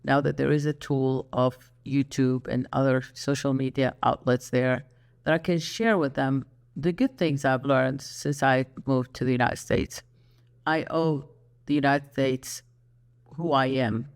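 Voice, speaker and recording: An older speaker from Iraq, an Arabic speaker hosting a solo channel monologue, earnest and reflective with pauses. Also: medium pitch, steady tone, clean tone. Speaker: YouTube channel host.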